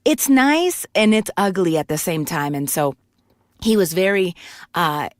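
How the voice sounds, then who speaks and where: A woman's voice: lowish voice, lively intonation, a little slow, no room sound, studio quality. A woman in her forties from Puerto Rico, telling her life story candidly in a casual interview, with many restarts. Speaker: guest voice actor and singer.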